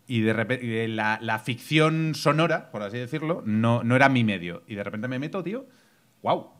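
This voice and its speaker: Excitable man podcast host. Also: medium pitch. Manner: enthusiastic, halting, many restarts. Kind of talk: casual chat. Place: small bar stage with live audience. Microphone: desk mic.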